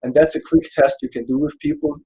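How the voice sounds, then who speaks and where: A man from Austria with an Austrian German accent, German his first language, explaining a psychology topic in a casual online panel, pausing often to search for words. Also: on the high side, steady tone, dark tone, husky, poor audio. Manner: hesitant, searching for words, checks notes.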